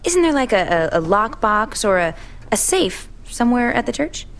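A woman's voice: a little high, very animated, moderate pace. An actress playing a chatty, flirtatious but evasive single woman in an audio drama, with a coy, teasing manner. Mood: apologetic, confiding. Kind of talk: naturalistic audio drama acting.

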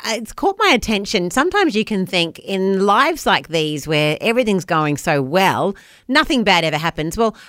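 Brief: female radio host, animated voice, dry close studio mic. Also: medium pitch, no room sound. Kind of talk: breakfast radio chat. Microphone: studio microphone.

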